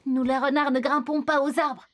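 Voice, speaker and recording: Woman doing a sly little cartoon fox, high pitch, friendly, hesitant, slightly evasive. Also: level tone, normal speed, close to an everyday voice. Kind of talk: children's cartoon dialogue in French.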